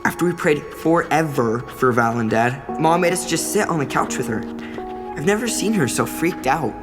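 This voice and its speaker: A woman's voice: low voice, lively intonation, moderate pace. A woman playing an earnest, nerdy young boy in an audio drama, speaking dialogue and diary narration. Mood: reflective. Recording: long reverb, music behind.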